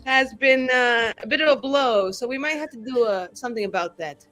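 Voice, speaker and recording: A woman's voice: a little high, fairly quick, fairly bright tone, soft background music. A woman playing in a tabletop show, chatting casually with playful, sarcastic, swearing delivery over her character. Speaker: player on an actual-play tabletop show.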